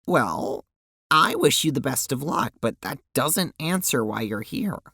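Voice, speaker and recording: A woman's voice: a bass voice, expressive, a little slow, pushed and bright, slightly rough, dry recording, clean studio sound, exaggerated voice. A woman playing a polite, awkward young witch girl in an audio drama, with a hesitant manner. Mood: friendly.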